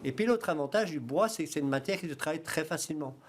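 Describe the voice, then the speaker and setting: A man with a Belgian French accent, a guest in a studio interview, explaining his project in a relaxed way, often starting with "alors" and "ben". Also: fairly high pitch, fast, husky. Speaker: guest, founder of a self-build training project.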